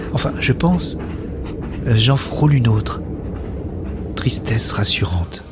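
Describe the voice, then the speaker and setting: A man's voice: medium pitch, darkish tone, lightly acted. A man playing a wonderstruck woman who narrates a science-fiction audio drama in the first person, in earnest short phrases. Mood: absorbed, sensory wonder. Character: wonderstruck woman newly linked to a shared consciousness.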